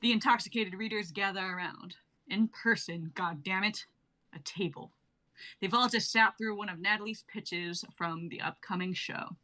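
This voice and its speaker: A woman's voice: medium pitch, moderate pace, bright, forceful tone, rough recording. A young woman reading stage directions as the narrator of a scripted comedy sketch. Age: young adult.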